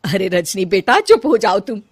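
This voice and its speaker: A woman's voice: mid-range voice, very animated, normal speed, slightly rough, dry recording, clean studio sound. A woman voicing a middle-aged mother-in-law in a cartoon story, commanding and motherly. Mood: soothing. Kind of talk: Hindi cartoon moral story dialogue.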